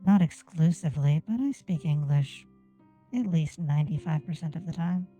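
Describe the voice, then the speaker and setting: Low, dark-voiced woman, deliberate speech. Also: faint music behind. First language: Japanese. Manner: deliberate, careful enunciation. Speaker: streamer. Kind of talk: casual gameplay stream chat. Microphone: desk microphone on an arm.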